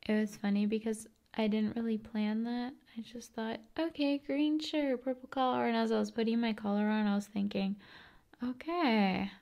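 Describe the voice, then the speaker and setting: Female ASMR host: unhurried, soothing, half-whispered delivery, flat intonation. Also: a little high, gravelly, muffled recording. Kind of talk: ASMR, soft-spoken and whispered rambling.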